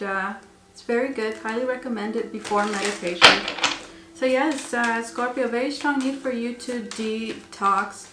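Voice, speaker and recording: A woman's voice: medium pitch, pushed and bright. A woman giving a tarot reading to her viewers while losing her voice, rambling and repeating herself with many fillers. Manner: rambling, repeats words with many ums and uhs. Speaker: tarot reader. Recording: echoey room with faint noise.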